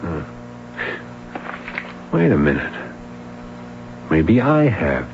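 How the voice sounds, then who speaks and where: An actor playing a skeptical, low-key police sergeant in a radio mystery drama, questioning in a measured, hesitant way. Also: male voice, very animated, gravelly, exaggerated voice. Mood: probing. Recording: dull, hissy old radio recording.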